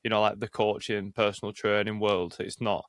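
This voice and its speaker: Guy hosting a chat podcast, kinda low voice, keeps restarting his sentences, dead dry sound. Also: flat delivery, no room sound. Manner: halting, repeats words and restarts. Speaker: podcast host, personal trainer. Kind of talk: casual interview chat.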